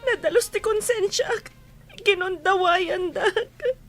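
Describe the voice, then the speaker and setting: An actress with a Filipino accent playing a meek young housemaid in a radio drama, plaintive and pleading. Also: female voice, extremely high pitch, slow. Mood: tearful, pleading.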